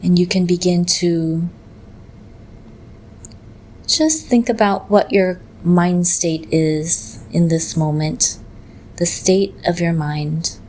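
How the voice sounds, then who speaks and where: A woman with a fairly low voice guides a meditation very slowly. Her delivery is gentle and measured, with long pauses between phrases. There is clear background noise behind her. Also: steady tone.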